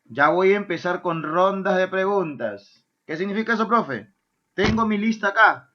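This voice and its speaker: A male math teacher gives a lively class. He keeps checking in with his students. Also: high-pitched, moderate pace, lo-fi sound. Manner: lively, asks "¿ya?" and "¿correcto?" often, answers his own imagined student questions. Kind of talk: online math lesson.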